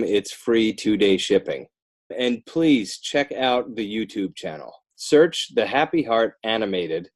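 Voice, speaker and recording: Casting: American male, low voice, children's author guesting on a podcast, conversational. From Northern New Jersey, United States. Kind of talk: casual podcast interview, plugging work.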